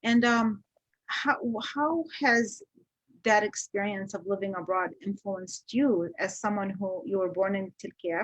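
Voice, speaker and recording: A woman's voice: medium pitch, measured pace, dry recording, low-quality recording. A woman from Iraq hosting an interview, asking searching questions with some hesitation. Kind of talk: casual interview.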